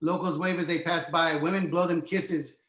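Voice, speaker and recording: Higher-pitched male narrator, echoey room. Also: steady tone, normal speed, fairly bright tone. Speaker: narrator reading stage directions. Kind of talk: scripted narration, screenplay table read.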